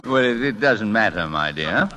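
Stubborn male painter, classic radio drama, proud, theatrical, sharp bright tone, very animated. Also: lowish voice, moderate pace, slight background noise. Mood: flustered, reluctant. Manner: indignant, theatrical, formal. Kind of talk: old-time radio drama acting.

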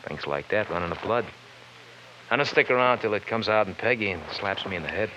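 A bitter young man from a radio crime drama, very deep yet sharp-edged. He wisecracks in slangy, mocking patter. The old recording is dull with faint noise. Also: animated, fairly quick. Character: bitter, sardonic young bowling-alley man, failed lawyer.

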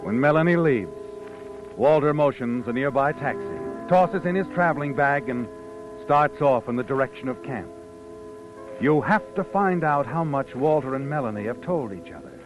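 An actor playing a knowing, insinuating narrator who taunts like a conscience, in dramatic 1940s radio suspense style. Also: male voice, medium pitch, animated, moderate pace, close to an everyday voice. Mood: knowing, ominous. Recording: dull old radio broadcast with music.

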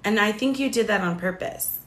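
A woman co-hosting a podcast, slightly raspy and deeper-voiced, chatting casually with lots of "like" fillers. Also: a little fast, fairly bright tone, reverberant. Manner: chatty, many "like" fillers, laughs easily. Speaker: podcast co-host. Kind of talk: casual chat.

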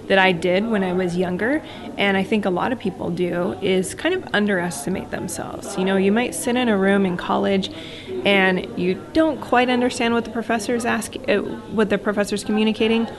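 A woman in cybersecurity reflecting steadily on career lessons in a conference interview. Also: medium pitch. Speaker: cybersecurity professional. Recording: busy conference crowd behind.

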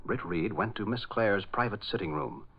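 A man's voice: lowish voice, expressive, normal speed, breathy edge. A man narrating a radio serial in a dramatic 1940s style. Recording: muffled old radio recording. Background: music.

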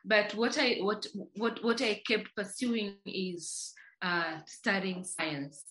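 A woman's voice: medium pitch, fairly even intonation, slow, fairly bright tone. A woman from Tanzania, a company founder, telling her life story as a podcast guest in a reflective way, often restarting her sentences. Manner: reflective, many restarts and fillers. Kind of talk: casual interview.

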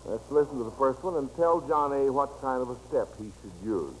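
A man's voice: medium pitch, animated, brisk, rough recording. Male American quiz show host for vintage radio, encouraging delivery, faint noise of an old recording. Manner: encouraging, praising the contestants. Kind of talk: 1940s-50s radio quiz show hosting.

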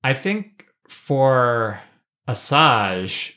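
A man's voice: medium pitch, very animated, extremely slow, bright, forceful tone. Thoughtful man co-hosting a podcast. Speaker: podcast co-host. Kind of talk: casual analytical discussion.

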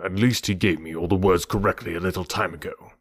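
A man's voice: deep voice, steady tone, fast, slightly rough, no echo, clean studio sound. A man doing a stern scornful panther.

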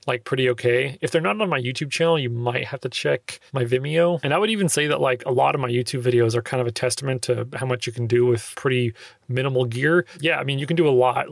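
A young man with a slightly nasal, gravelly voice talks casually as a podcast host, peppering his explanations with fillers. His pitch sits in the middle range. The sound is close and dry, recorded in a small treated closet. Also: dry recording. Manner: loose, filler-heavy explaining with frequent "like". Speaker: podcast host answering listener questions. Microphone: handheld recorder close up.

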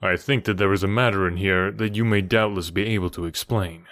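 A man voicing a calm, commanding young gentleman stranger in an audiobook, measured and formal. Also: sits low, no echo, clean studio sound. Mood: firm. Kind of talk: audiobook character voice.

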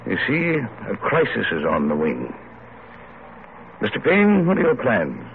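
An actor playing a genial, shrewd elderly gentleman statesman with courtly, measured phrasing, in an old radio drama. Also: on the low side, wildly swooping pitch, vocal fry, exaggerated voice. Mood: earnest, persuasive. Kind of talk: 1940s-50s radio drama acting. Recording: tinny, noisy old radio recording.

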